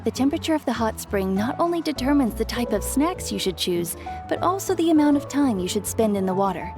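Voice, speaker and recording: A proud, exacting village auntie with a caring streak, in a video game. Her fairly high voice moves expressively as she instructs, with music behind. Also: big pitch swings, brisk. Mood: lecturing. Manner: matter-of-fact, instructive, proud. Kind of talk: English video game voice acting.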